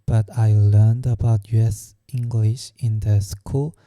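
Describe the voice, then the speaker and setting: A young man from Korea with a Korean accent, telling his own story slowly and hesitantly, searching for words. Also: lowish voice, flat delivery, dark tone, no room sound. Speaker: vlogger. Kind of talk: personal storytelling.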